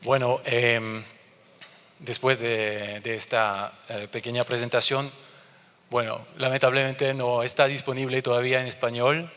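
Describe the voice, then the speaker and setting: A halting French Canadian man speaking in a reverberant conference hall. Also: mid-range voice, fairly even intonation, fairly bright tone, smooth. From France and Canada. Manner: halting, searching for words, many restarts. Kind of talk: conference presentation.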